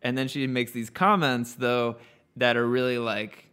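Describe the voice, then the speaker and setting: A man's voice: medium pitch, steady tone, measured pace, fairly bright tone, smooth. A man in his thirties, a podcast guest, musing at length in casual chat with lots of restarts and trailing thoughts. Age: 30s. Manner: reflective, rambling, many restarts.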